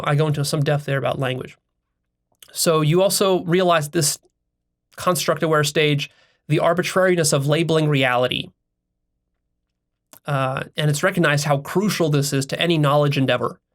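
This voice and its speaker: A man with a slightly raised voice talks through ideas alone, earnest and reflective. He leans on key words and spaces them out slowly, with many "uh"s and restarted phrases along the way. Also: on the high side, fairly even intonation. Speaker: self-help channel host. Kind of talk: solo philosophical monologue.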